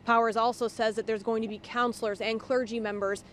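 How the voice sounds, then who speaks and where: Higher-voiced American woman reporting live. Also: little pitch movement, fairly quick. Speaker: TV news reporter. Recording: faint outdoor traffic noise.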